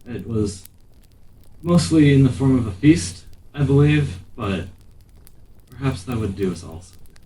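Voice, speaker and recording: A man's voice: mid-range voice, expressive, unhurried, long pauses, mellow, close to an everyday voice. A man voicing an earnest, uncertain fantasy adventurer in a tabletop game, speaking in grave, broken phrases. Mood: measured, hesitant. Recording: roomy, distant mic, faint noise.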